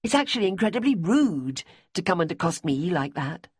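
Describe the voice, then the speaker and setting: A woman's voice: on the low side, sing-song, brisk, a little mellow, slightly rough, no echo, clean studio sound. A woman doing a reluctant, firm florist. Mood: exasperated. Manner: firm and defensive. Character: plain-spoken woman florist, reluctant and exasperated.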